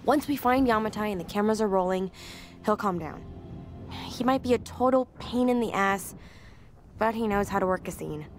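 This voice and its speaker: Actress as candid young filmmaker, mid-pitched. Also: female voice, normal speed, faint music behind, natural voice. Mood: exasperated, confiding. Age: young adult. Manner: conversational, candid, expressive. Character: chatty, spirited young female expedition filmmaker. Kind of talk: casual video diary in a game.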